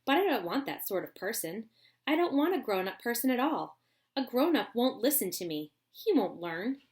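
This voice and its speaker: A woman voicing an eccentric, excitable old man who makes candy, in a storybook reading. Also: a little high, pitched above the performer's own voice. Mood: eager. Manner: brisk, eager and bossy.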